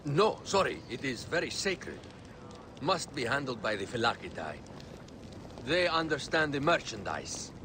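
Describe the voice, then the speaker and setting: Composed envoy, lively intonation. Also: male voice, mid-range voice, a little slow. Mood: guarded politeness. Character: composed, devout male envoy and plotter for the queen. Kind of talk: video game dramatic acting. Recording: faint background noise.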